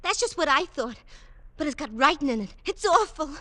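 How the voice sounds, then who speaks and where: A woman's voice: sits high, big pitch swings, brisk, pushed and bright, no room sound, full character voice. A woman playing an anxious, insistent working-class wife in a 1940s radio drama, urgent and colloquial. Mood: worried. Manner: breathlessly urgent, pleading, colloquial. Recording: vintage radio recording.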